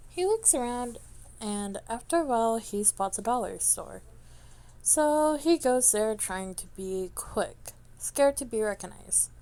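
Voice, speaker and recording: A woman with a fairly high voice reads fiction aloud. She is an amateur narrator, and her delivery is halting, with stumbles and repeated words as she works through the text. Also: mellow. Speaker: amateur fan fiction reader. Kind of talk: reading a story aloud.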